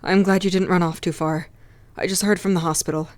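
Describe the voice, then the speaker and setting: A woman playing a busy, matter-of-fact mother in a comic dub, speaking in a practical, brisk manner. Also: on the low side, fairly even intonation, slight background noise, lightly acted.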